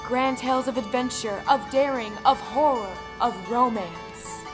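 A woman narrating a scripted folktale in a measured, dramatic style. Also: on the high side. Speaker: storyteller. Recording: music under the voice. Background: music.

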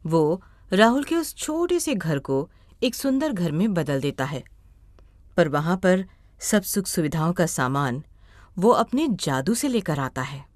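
A woman's voice: on the low side, lively intonation, unhurried, a little mellow, dry recording. A woman reading scripted story narration as a storyteller.

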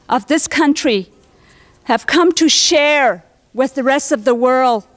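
High-voiced woman speaking slowly and ceremonially. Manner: deliberate, ceremonial. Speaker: conference host. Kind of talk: formal welcome speech. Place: large conference hall, at a podium. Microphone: podium microphone.

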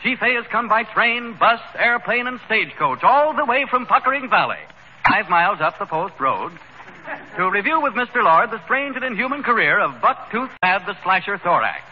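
A man's voice: high-pitched, sing-song, normal speed. A man playing a pompous crime-show announcer in a declamatory 1930s radio comedy style. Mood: mock solemn. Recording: noisy, muffled 1930s radio recording.

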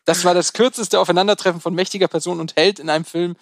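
Man, co-host, gravelly voice, sarcastic, dry jokes, dry close mic, casual podcast banter. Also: high voice, steady tone, fast, dry recording. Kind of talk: casual film podcast chat.

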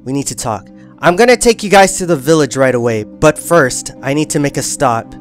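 A man with a somewhat high-pitched voice performs an improvised Minecraft roleplay. His delivery is dramatic, with faint music underneath. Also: expressive, a little fast. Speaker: gaming roleplay host.

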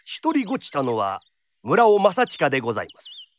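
An actor narrating a period radio drama as a theatrical kodan storyteller, formal and declamatory. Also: male voice, a little high, wildly swooping pitch, moderate pace. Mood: dramatic narration. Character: lively traditional storyteller narrating a period tale.

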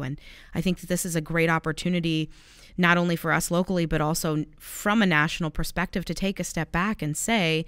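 A young woman with a smooth, clear and slightly low voice co-hosts a local government talk show. She speaks earnestly and conversationally, and the sound is dry and close. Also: no echo. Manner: earnest, articulate, conversational with occasional restarts. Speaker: city communications coordinator, co-host. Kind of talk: casual policy discussion.

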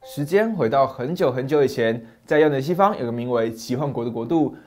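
A middle-aged man narrating a fairy tale as a channel host, with a dramatic, animated manner, on a lapel mic in a small dim study. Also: mid-range voice, fairly quick. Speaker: storyteller host.

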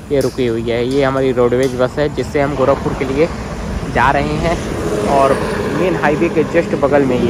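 A young man chatting casually to viewers as a travel vlogger, filming himself with a phone at arm's length outdoors at night. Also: medium pitch. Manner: chatty, addresses viewers as brother and guys. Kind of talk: casual travel vlog narration. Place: outdoors at night on a roadside and in a bus. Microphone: phone held at arm's length. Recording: loud street traffic noise. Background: loud traffic and vehicle noise.

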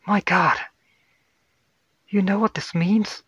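Man voicing an anxious spacefaring woman, raised pitch. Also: steady tone, slow, fairly bright tone, husky, dry recording, clean studio sound, exaggerated voice. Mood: urgent, explaining. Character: anxious woman science officer on space crew. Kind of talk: dramatic sci-fi horror audio story.

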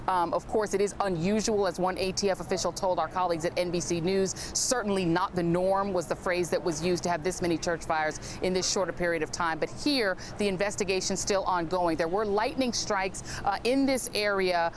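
A woman correspondent giving a live field report outdoors, brisk and filled with small "uh"s. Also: on the low side, steady tone, noisy background. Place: outdoors beside a burned church.

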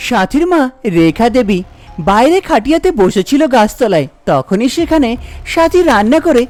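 A woman's voice: a little high, big pitch swings, moderate pace, darkish tone, soft background music. A woman narrating a scripted cartoon folk tale as its storyteller.

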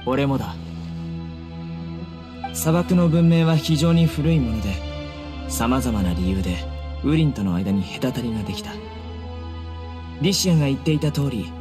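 A man playing a stern, composed young desert judge in an anime game, speaking in a measured, solemn way. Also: mid-range voice, animated, close to an everyday voice. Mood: grave, reflective. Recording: game music behind the voice.

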